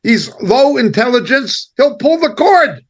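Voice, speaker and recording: High-voiced man, indignant and emphatic. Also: big pitch swings. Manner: indignant, emphatic, halting delivery in short phrases. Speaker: preacher and Bible teacher giving commentary. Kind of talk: impassioned spoken commentary.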